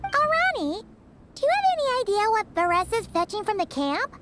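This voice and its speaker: A woman's voice: extremely high pitch, big pitch swings, normal speed, noisy background. A woman voicing a tiny childlike fairy companion in a video game, eager, curious and chirpy. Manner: refers to self in third person, exclamatory. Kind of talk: video game character acting.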